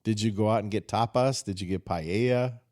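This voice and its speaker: A man's voice: lowish voice, moderate pace, darkish tone. A man hosting a casual podcast chat, asking his guest curious questions. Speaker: podcast host.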